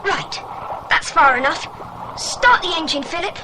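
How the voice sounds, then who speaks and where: A woman's voice: extremely high pitch, expressive, unhurried. A woman voicing a plucky, decisive boy who leads the others in a children's audio adventure drama. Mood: brisk, commanding. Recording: very noisy older dramatised recording.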